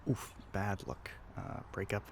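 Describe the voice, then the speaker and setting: A man playing a low-voiced, teasing young tour guide. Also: lively intonation, a little slow, vocal fry, a little hiss or hum, heavily acted. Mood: awkward, wry. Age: young adult. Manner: casual, chatty, teasing, sighs between remarks. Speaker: audio roleplay voice actor. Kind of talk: scripted roleplay addressed to the listener.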